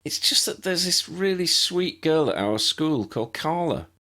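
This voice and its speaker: A man's voice: fairly high pitch, expressive, moderate pace, bright, forceful tone, pitched above the performer's own voice. A man voicing a curious, polite young girl in a storybook, innocent and questioning. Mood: apologetic, earnest.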